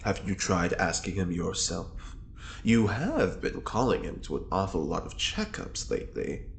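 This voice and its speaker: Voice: sits low, big pitch swings, a little slow, gravelly, a little hiss or hum, exaggerated voice. An actor playing a smug, flirty long-term prisoner in an audio drama, with arch, flamboyant, teasing delivery. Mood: teasing, sly.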